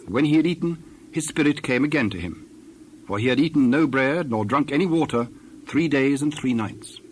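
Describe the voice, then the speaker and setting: A man's voice: mid-range voice, animated, normal speed. Solemn male scripture narrator, noisy backdrop.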